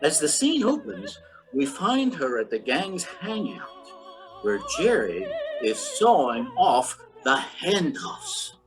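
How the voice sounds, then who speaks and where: A woman announcer narrates in old-time radio fashion, setting the scene from a script. Her delivery is animated, and music plays faintly behind her. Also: low voice, takes it slowly, rough recording.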